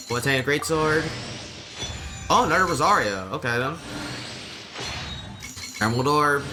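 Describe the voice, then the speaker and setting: A man giving casual live gameplay commentary as a streamer, with mild, low-key, resigned reactions. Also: noisy background. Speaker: game streamer. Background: game music.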